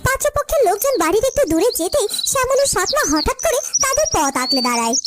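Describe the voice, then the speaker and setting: A woman's voice: very high voice, big pitch swings, fast talker. A woman narrating a scripted cartoon story as storyteller, with music underneath. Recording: loud music bed under voice.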